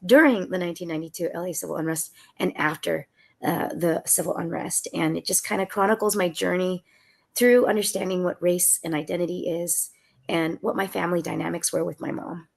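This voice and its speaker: A woman's voice: lowish voice, steady tone, brisk, creaky. A woman author talking about her memoir, a little congested from a cold, telling stories with frequent laughs. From Southern California. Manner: laughs often, warm and storytelling. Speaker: author guest. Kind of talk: conversational book talk.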